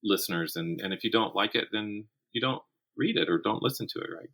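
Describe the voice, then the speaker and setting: An American man in his fifties answering interview questions conversationally, thinking aloud in a candid, animated way. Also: fairly low pitch, brisk. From South Carolina, United States. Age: 50s. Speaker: interview guest, horror writer and teacher.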